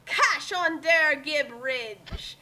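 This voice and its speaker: A woman's voice: high voice, expressive, very slow, bright, forceful tone, slight background noise. A woman on an online group call, hosting a dramatic fanfic reading that mixes theatrical delivery with casual chat.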